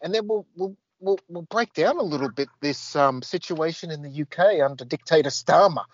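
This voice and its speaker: Rambling podcast host, dry sound. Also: male voice, on the high side, normal speed, no room sound, dull audio. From Australia. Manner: rambling, many fillers and restarts. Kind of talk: casual podcast chat.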